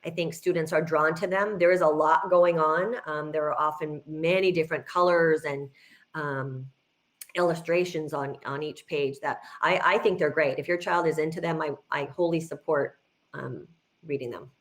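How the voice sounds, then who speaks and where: Woman, middle-aged, slightly low voice. Guest educator in an informal Q&A, encouraging, explains with emphasis. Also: steady tone, normal speed. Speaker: guest speaker, kindergarten teacher. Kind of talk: informal educational talk, answering questions.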